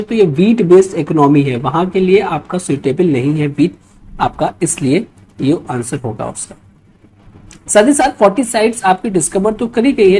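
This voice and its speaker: An Indian woman teaches history for exam students in a mellow, soft-edged voice. She reads out questions and explains them in a mix of Hindi and English, in an instructional way with frequent little check-in tags. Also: lowish voice. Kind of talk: explanatory lecture mixing Hindi and English, reading out quiz questions.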